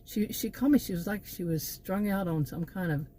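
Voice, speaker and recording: A woman's voice: medium pitch, a little fast, warm tone, a little hiss or hum. A middle-aged woman with a Texan accent, talking in a meandering opinion monologue full of asides and restarts. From Texas. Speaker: channel host.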